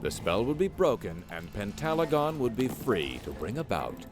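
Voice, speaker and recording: A man playing a grave true-crime narrator in a fantasy world, delivering scripted narration with solemn deadpan. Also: on the low side, moderate pace, music in the background.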